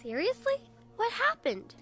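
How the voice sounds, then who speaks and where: A woman playing a young, fretful, unsure girl in an audio drama, with a childlike, halting delivery. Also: very high voice, huge pitch swings, sharp tone, faint music behind, exaggerated voice. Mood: indignant curiosity.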